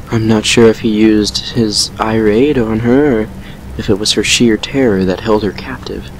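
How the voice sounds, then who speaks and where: Guy acting as a brooding vampire telling his sad past, low voice, measured, audio drama, noisy recording. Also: natural voice. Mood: grim, regretful. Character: brooding male vampire recounting a painful past with regret. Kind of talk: fan fiction audio drama monologue.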